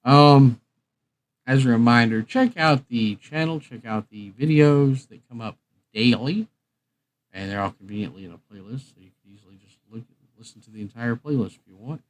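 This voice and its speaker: A man's voice: mid-range voice, moderate pace, poor audio. A man hosting a casual livestream, rambling and thinking aloud in a hesitant way. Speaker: livestream host.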